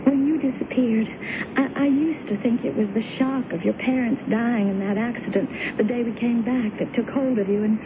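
Lady playing a nervous loving wife in an old radio play, high pitched, stammery, lots of hiss. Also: fairly even intonation, moderate pace, lightly acted. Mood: pleading, tender. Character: anxious, devoted wife humoring her confused husband. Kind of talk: radio drama acting. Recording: noisy, dull old radio broadcast.

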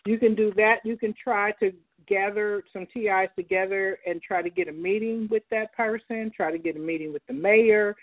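A woman's voice: mid-range voice, steady tone, normal speed, few pauses. A woman hosting a call-in talk line, speaking directly and posing questions to her listeners. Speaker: host. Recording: tinny phone line.

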